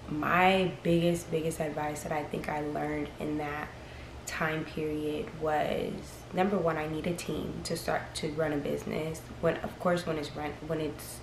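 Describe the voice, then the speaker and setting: A young woman in her early twenties talks to camera in a rambling, frank, chatty way. Her voice sits low and stays fairly flat. The room sounds echoey, with a clear hiss of noise behind her. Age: early 20s. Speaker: vlogger. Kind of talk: casual chat to camera.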